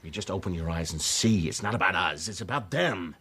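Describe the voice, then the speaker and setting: Earnest comedian, deep voice, lively swooping intonation, persuasive, optimistic, drama dialogue. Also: male voice, a little fast, husky, exaggerated voice. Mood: earnest, insistent. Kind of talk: radio-play style dramatic dialogue.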